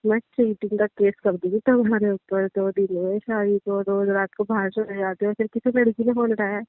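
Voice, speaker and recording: A woman's voice: medium pitch, little pitch movement, normal speed, dry recording, natural voice. A woman playing an insistent, scolding newlywed wife in a naturalistic audio drama argument. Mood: firm, demanding. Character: insistent, suspicious newlywed wife demanding not to be blocked. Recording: tinny, dry phone-call sound.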